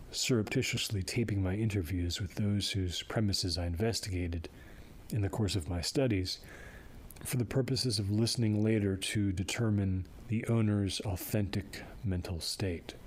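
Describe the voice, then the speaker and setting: A man's voice: fairly low pitch, natural voice. A man playing a methodical old parapsychologist. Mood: formal. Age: older. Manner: measured, explanatory. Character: aging male parapsychologist, calm and methodical. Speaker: paranormal investigator. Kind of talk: audio drama acting. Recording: steady hiss and rain behind.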